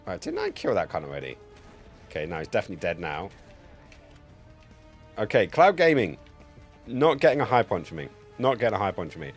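An animated man streams a video game with music playing behind him. He rambles casually between the action and chat, joking and swearing freely into a close mic. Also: on the low side, a little fast, long pauses, breathy edge. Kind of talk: casual live-stream gameplay commentary and chat. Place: indoor home streaming setup. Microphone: close streaming mic on a boom arm.

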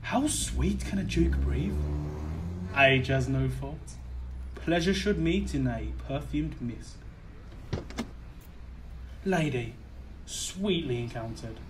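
A man playing a lecherous old duke in a Jacobean verse audio drama, speaking with slow, theatrical relish. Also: medium pitch, background noise.

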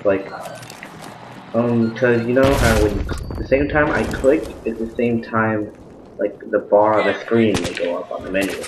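A young man casually chatting and joking while playing a shooter game, rambling with deadpan asides. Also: lowish voice, normal speed, background noise. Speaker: gameplay commentator. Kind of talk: casual gaming chat. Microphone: desktop mic with audible clicking. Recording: echoey room, loud gunfire behind. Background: video game gunfire.

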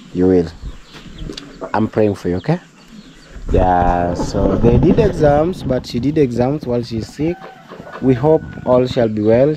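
A man's voice: fairly high pitch, warm tone, slight background noise. A young Kenyan man with a Kenyan accent, chatting casually to his viewers in a home vlog, speaking English as a second language and sometimes slipping into prayerful encouragement. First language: not English. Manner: chatty, preachy, addresses viewers as guys. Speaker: vlogger. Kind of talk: casual vlog talk to camera. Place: outdoors at a rural home.

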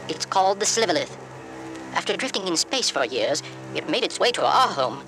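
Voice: mid-range voice, very animated, normal speed, natural voice, poor audio. A performer voicing a small, plucky, polite and proud rabbit-like alien creature, with a woman's voice, for a children's storybook record. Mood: grave. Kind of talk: children's read-along record dramatization. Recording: loud music behind the voice.

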